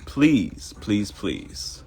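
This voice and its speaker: Deep-voiced Baltimore man, lively delivery. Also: extremely slow, husky, soft background music. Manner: animated, acts out quoted voices, addresses viewers directly. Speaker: host of a live morning show. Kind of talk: casual livestream talk.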